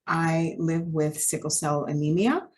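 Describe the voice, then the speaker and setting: Woman innovation manager, low voice, articulate and poised. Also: a little slow. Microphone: video call.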